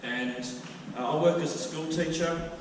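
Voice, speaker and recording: A man's voice: on the high side, measured pace. Man, middle-aged, chatty church speaker, distant and noisy recording. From Australia. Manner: chatty, loud and storytelling. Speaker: guest speaker. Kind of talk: church talk. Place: church hall. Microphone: handheld mic. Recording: echoey hall from far off, with noise.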